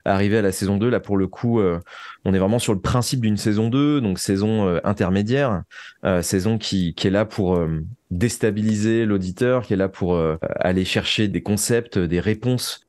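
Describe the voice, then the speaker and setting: A man's voice: on the low side, moderate pace, darkish tone, no room sound. A man speaking as an interview guest, a writer reflecting earnestly and often searching for his words.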